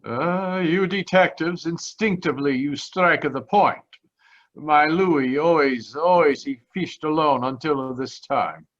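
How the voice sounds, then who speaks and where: Muffled, halting Italian man, played by an actor. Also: a little high, a bit bright. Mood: halting, troubled. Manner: halting, formal broken English. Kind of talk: old-time radio drama acting. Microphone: video call. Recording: muffled video-call audio.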